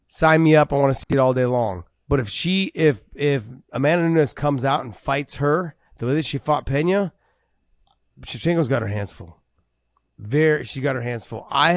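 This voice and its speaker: A man co-hosting a casual MMA podcast, talking in an animated way and repeating words as he builds his points. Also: mid-range voice, dry recording, studio quality. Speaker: podcast co-host.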